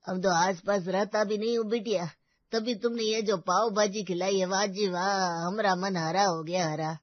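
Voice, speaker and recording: A woman's voice: mid-range voice, fairly even intonation, normal speed, natural voice. Woman acting a jovial teasing man, mid-range voice, hearty, family storybook, slightly dull dry recording. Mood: hearty, appreciative.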